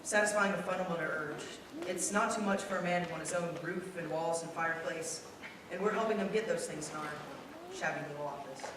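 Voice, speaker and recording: A man acting a weary, kindly, idealistic small-town father, gently earnest, in a stage radio play. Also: on the high side, fairly quick, close to an everyday voice. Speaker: school play actor. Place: school theater stage. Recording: echoey hall, distant mic, clear noise.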